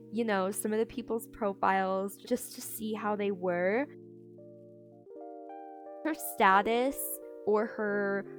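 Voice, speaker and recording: A young adult woman tells a casual storytime in a candid, chatty way, full of fillers and restarts. She leans hard into incredulous emphasis on the punchlines. A clear music track plays behind her voice-over. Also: mid-range voice. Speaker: storytime creator. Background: background music.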